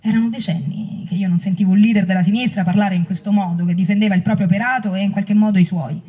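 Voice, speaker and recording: A woman performs a dramatic reading of a written personal account. Her voice is mellow and dark, with a breathy, husky grain. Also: mid-range voice, fairly even intonation, fast talker, highs cut off. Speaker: performer reading book extracts.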